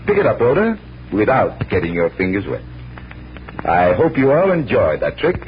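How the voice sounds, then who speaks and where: Confident magician detective, old radio drama, authoritative, animated delivery, slight hum and noise. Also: male voice, lowish voice, dull audio. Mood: showmanlike, instructive. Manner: brisk, authoritative explaining.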